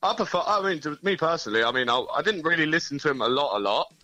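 A man's voice: a little high, sing-song, rapid-fire, a crowd in the background. A man, a band member, chatting easygoingly as a guest in an interview and thinking aloud. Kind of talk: casual interview chat.

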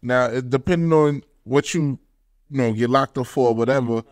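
A man's voice: medium pitch, normal speed, dry recording. A man chatting casually as a podcast guest, emphatic and slangy, repeating his phrases to make a point. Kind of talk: casual chat. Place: small studio with foam panels and curtains.

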